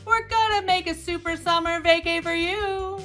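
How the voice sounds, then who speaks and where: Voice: extremely high pitch, fairly even intonation, moderate pace, sharp tone, faint music behind. A performer playing an upbeat, showboating male beach-town mayor in an exuberant musical comedy number, half sung and half spoken.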